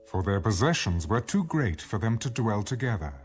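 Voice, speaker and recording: A man giving a formal, measured scripted narration of scripture, as in an audio Bible. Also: lowish voice, big pitch swings. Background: background music.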